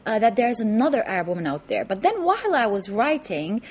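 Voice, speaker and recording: A woman from Lebanon, answering interview questions thoughtfully, with many pauses, fillers and restarts, in a TV studio. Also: a little high, big pitch swings, darkish tone, slightly rough. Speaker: interview guest, author.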